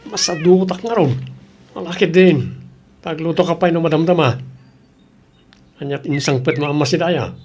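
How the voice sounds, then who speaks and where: A man's voice: on the high side, normal speed, long pauses, a little mellow, lightly acted. A man playing a lazy, whining, freeloading boyfriend in a Filipino audio drama, grumbling sluggishly.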